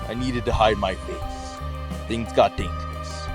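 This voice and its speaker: An actor playing a haunted fugitive confessing a painful loss in an audio drama. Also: male voice, lowish voice, unhurried, natural voice. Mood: sombre, regretful. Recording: music under the voice.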